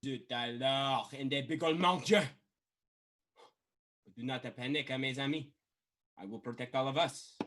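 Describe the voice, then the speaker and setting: A man's voice: medium pitch, normal speed, long pauses, fairly bright tone, lightly acted. A man playing a boastful young military cadet with a put-on Québécois French accent. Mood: blustering. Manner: boastful, blustering. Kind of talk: comedy sketch acting.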